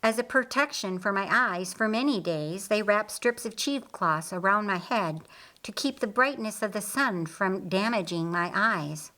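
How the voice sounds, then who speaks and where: A woman voicing a kindly, earnest male master of a household in an audiobook, speaking in a measured, formal way. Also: medium pitch, animated, bright, forceful tone, rough recording. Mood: reflective.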